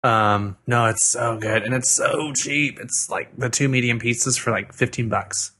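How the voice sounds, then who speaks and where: A man's voice: medium pitch, steady tone, measured pace, bright, forceful tone, a little hiss or hum. A man co-hosting a podcast, chatting casually and playfully, echoing words back for fun. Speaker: podcast co-host. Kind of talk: casual chat.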